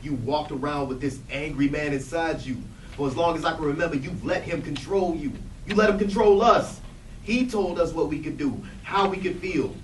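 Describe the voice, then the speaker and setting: A man's voice: a little high, normal speed, natural voice. A man playing a wounded but resolute grown son, speaking earnestly and emphatically in a dramatic stage reading. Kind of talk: stage readers theatre acting. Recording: echoey room, distant mic, background noise.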